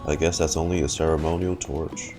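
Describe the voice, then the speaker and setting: A man's voice: extremely low pitch, flat delivery, fairly quick, music in the background. A man giving casual commentary as he streams a video game and reacts to it.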